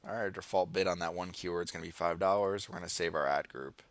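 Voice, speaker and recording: A man's voice: deep voice, quick pace, dry recording. A man with an American accent presenting an informal screen-share tutorial, thinking aloud as he demonstrates each step. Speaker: online marketing tutorial presenter. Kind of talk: casual screen-share walkthrough, partly improvised.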